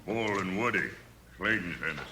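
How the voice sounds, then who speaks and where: A man playing a blunt, gruff outlaw henchman with an American accent in a 1950s radio western. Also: low voice, normal speed, bright, forceful tone. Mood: urgent. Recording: noisy vintage radio recording.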